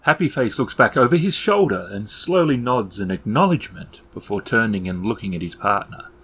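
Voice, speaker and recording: A man working as game master, narrating scenes in measured, descriptive, literary language. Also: fairly low pitch, lively intonation, fairly bright tone, husky. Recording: background ambience noise under voice.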